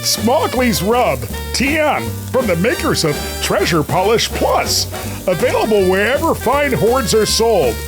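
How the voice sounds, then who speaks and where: A man's voice: very high-pitched, sing-song, unhurried, over music, pitched above the performer's own voice, heavily acted. A man playing an upbeat announcer in a parody radio commercial, with punchy, salesy emphasis. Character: upbeat ad announcer pitching to dragons, male.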